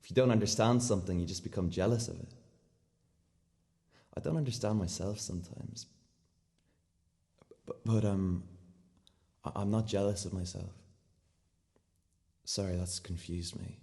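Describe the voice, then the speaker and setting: A male actor as an awkward, muddled man delivering a radio play monologue in a very low voice. He confesses earnestly, haltingly correcting himself, inside a long reverberant space. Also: dark tone. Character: rambling, confused, socially awkward man confessing odd thoughts.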